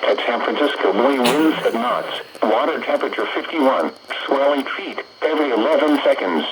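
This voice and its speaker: A man's voice: medium pitch, sing-song, moderate pace. A synthetic man's voice reading a scripted weather bulletin over the radio in formulaic, impersonal, clipped phrases. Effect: synthetic text-to-speech voice over radio. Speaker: automated weather radio announcer. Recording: noisy weather radio broadcast capture.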